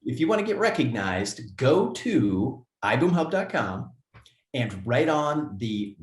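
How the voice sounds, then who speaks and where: A man's voice: medium pitch, sing-song, slow. A man co-hosting a company livestream in a casual, upbeat way, reading names from the chat and laughing often. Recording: echoey room.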